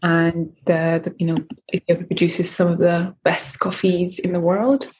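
A woman from Eritrea, a coffee company founder answering interview questions in a measured way, with many fillers and restarts. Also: lowish voice, flat delivery, darkish tone, a little hiss or hum.

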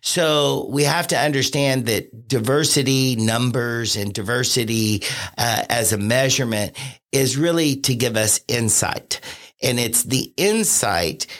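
Man, podcast guest, earnest and measured, mid-range voice. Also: mid-range voice, fairly bright tone. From Cincinnati area. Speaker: podcast guest, consultant and franchisee. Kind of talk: conversational interview.

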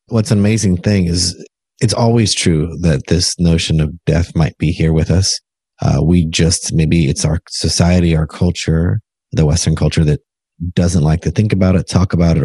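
A man's voice: low voice, steady tone, normal speed, mellow, slightly rough, no room sound. A man interviewing an author, speaking in a thoughtful, encouraging, conversational way.

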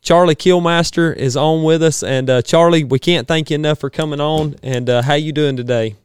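A man's voice: fairly high pitch, steady tone, normal speed, smooth, dry recording. A man hosting a casual outdoors podcast from a small studio, folksy, with frequent restarts. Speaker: podcast host. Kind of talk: casual interview chat.

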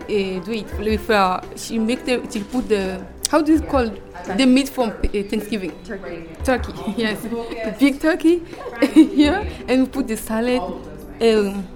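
A woman in her twenties from Burkina Faso, friendly and laughing, sometimes searching for English words. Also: on the high side. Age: 20s. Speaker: culinary student and interpreter.

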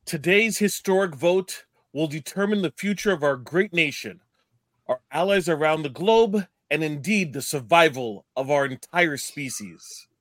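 Man acting a solemn senator, slightly high voice. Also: animated, a little slow, a bit bright, dry recording. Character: solemn male senator making grave pronouncements. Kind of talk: live radio theatre read.

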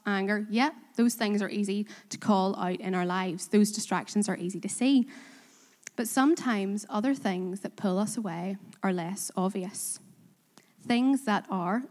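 A woman's voice: mid-range voice, darkish tone. Woman preaching in a reverberant hall. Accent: Korean. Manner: earnest, self-deprecating, asks the congregation questions. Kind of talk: sermon. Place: church in Belfast.

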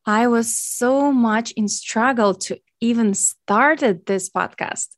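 A woman's voice: a little high, takes it slowly, no room sound, studio quality. Ukrainian-accented woman hosting a podcast. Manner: earnest, grateful, non-native phrasing. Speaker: podcast host, nutrition coach. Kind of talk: solo podcast monologue.

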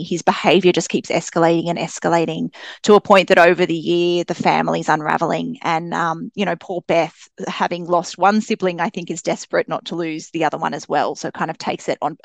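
Female interview guest, lower-pitched voice, dry close sound, casual and effusive delivery. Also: gravelly, dry recording. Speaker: podcast guest, children's author. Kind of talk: casual, enthusiastic interview chat.

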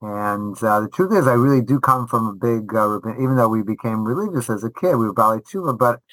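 A middle-aged man telling stories casually as an interview guest, animated, often repeating and restarting his phrases. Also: medium pitch, no echo, low-quality recording. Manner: animated, halting delivery with repeated false starts. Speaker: guest rabbi and veteran Torah teacher.

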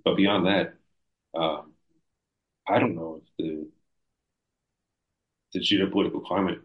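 A man's voice: low-pitched, fairly even intonation, measured pace, echoey room, narrow, tinny audio. A young man in casual podcast conversation, thinking aloud with hesitant restarts.

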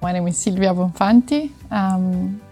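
A woman's voice: medium pitch, a little slow, mellow, faint music behind. A middle-aged Italian woman speaking English with an Italian accent as an interview guest, explaining things conversationally and searching for words now and then. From northern Italy. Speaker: interview guest, sports medicine doctor.

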